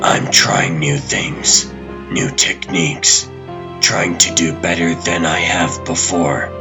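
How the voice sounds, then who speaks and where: A man voicing a cocky, wry vigilante hero in a comic dub, with a dry, deadpan delivery. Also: deep voice, slow pace. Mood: earnest. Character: cocky adult male vigilante hero, wry, earnest, restless talker. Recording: loud music bed under the voice.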